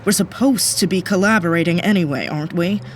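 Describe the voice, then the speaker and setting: A woman's voice: on the low side, brisk, a little hiss or hum, lightly acted. A woman playing a weary agent who keeps the peace in a comedic audio drama, with a dry edge. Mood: exasperated.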